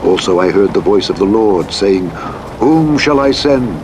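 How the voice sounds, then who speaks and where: A man reading scripture as a narrator in a solemn, measured, declamatory manner. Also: fairly low pitch, animated. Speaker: audiobook Bible narrator. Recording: engine and road noise over playback. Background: truck simulator vehicle noise.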